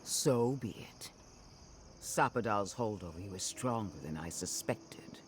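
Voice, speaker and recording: An actor playing a stern, solemn undead zealot in a fantasy game, speaking deliberately and accusingly. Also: male voice, lowish voice, animated, heavy background noise. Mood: cold. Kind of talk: fantasy game cutscene acting.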